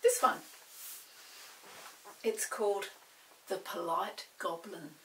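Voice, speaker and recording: A woman reading a children's story in an expressive, measured way. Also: mid-range voice, distant mic, slight background noise, rough recording. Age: 60s. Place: small indoor set with dark backdrop.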